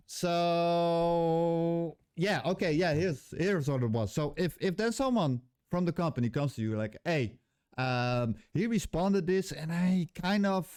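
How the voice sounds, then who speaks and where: A man's voice: on the high side, darkish tone, clean tone, no echo, clean studio sound. A man with a Dutch accent speaking English as a second language, ranting bluntly and heatedly to camera, swearing freely and searching for words. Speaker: vlogger, former game level designer. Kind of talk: unscripted angry rant to camera.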